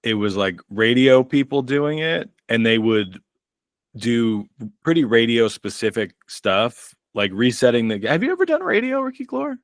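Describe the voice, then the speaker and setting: A man's voice: mid-range voice, very animated, normal speed, no echo, studio quality. A middle-aged man, a comedian co-hosting a podcast, chatting casually and rambling in a self-deprecating way. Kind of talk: casual chat.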